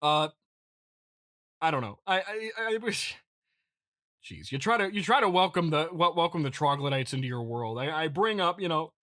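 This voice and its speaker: A man with a forceful, bright voice in a fairly high register delivers an improvised comedic monologue as a podcast host. He speaks haltingly, restarting and repeating words in a mock-earnest, aggrieved manner. The sound is dry and close, with little room to it. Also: expressive, no room sound. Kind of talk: improvised comedic monologue reading listener feedback.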